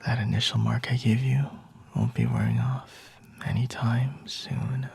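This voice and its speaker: A man playing a flirty, possessive vampire in an intimate ASMR roleplay, whispering slowly and teasingly in a breathy, husky voice. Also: medium pitch, very animated, a little mellow, exaggerated voice. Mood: menacing, teasing.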